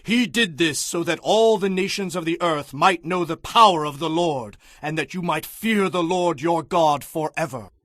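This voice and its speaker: A man's voice: fairly high pitch, moderate pace, hardly any pauses, a bit bright, dry recording, lightly acted. A man playing an earnest, reverent leader of ancient Israel in a dramatized audio Bible, speaking in a solemn, declamatory way. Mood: solemn, instructive. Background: background music.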